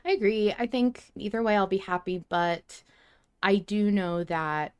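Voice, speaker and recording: A woman hosting a podcast in casual discussion, thinking aloud in halting phrases. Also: medium pitch, smooth, no echo, clean studio sound. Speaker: podcast host.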